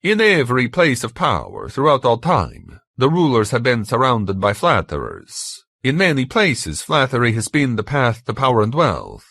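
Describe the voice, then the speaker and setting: A man's voice: mid-range voice, wildly swooping pitch, normal speed, vocal fry, few pauses, fairly bright tone, dry recording, clean studio sound, voice pushed up, heavily acted. A man voicing a stern, earnest man who lectures his companions in a formal, emphatic way for a story. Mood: earnest lecturing.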